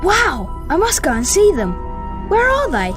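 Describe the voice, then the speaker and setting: A woman voicing a friendly, excitable young British schoolboy in a video game. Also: extremely high pitch, unhurried, over music, full character voice. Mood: eager. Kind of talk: video game cutscene acting.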